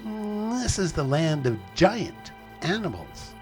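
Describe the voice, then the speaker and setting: Woman voicing a giant guard cat, very slow, lively pitch, storybook. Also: faint music behind. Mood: solemn. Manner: slow solemn announcing. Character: enormous male guardian cat.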